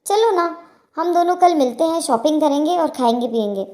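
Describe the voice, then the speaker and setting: Woman playing a high, chirpy young friend. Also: animated, moderate pace, warm tone. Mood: friendly, eager. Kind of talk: dramatized phone chat in a story.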